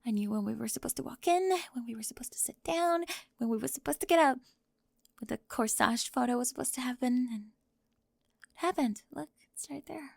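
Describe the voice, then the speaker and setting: A woman playing a high-strung, controlling teenage cheerleader, tearful and defensive, in an ASMR audio roleplay, flustered and emphatic with sighs and whispers. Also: a little fast, creaky, darkish tone, dry recording, studio quality. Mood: upset, confessing.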